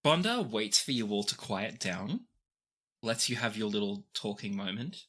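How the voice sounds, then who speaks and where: Very low-voiced woman narrating a game. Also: animated, moderate pace, fairly bright tone, breathy edge. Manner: teasing, affectionate with players. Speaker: game master. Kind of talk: improvised narration of a tabletop game.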